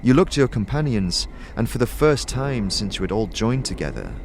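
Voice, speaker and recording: A man's voice: mid-range voice, animated, normal speed, a little mellow, a little hiss or hum. A man narrating a fantasy audio drama from a script in a dramatic storytelling style.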